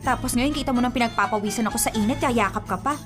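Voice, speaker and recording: A woman's voice: on the high side, quick pace, natural voice. A woman playing a bossy, irritable young girlfriend in a radio drama, snappy and scolding. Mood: irritated. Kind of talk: melodramatic radio drama dialogue. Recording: music behind the voice.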